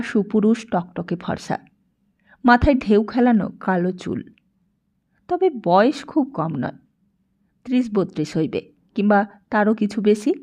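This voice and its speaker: A woman narrates a literary story a script in a measured, expressive way. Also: a little high. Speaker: audiobook narrator.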